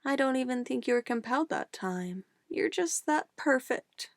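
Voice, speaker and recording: Soft, creaky, possessive witch, acted by a woman. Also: high voice, lively intonation, normal speed, no echo, studio quality. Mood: gushing, adoring. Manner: cooing, coaxing, condescending sweet talk. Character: possessive young-sounding witch, sweetly menacing, doting on her familiar. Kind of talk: ASMR roleplay acting.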